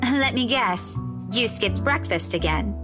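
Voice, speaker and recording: A woman's voice: fairly high pitch, expressive, unhurried, over music. A woman playing a teasing, bossy young friend in a visual novel game. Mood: knowing, chiding.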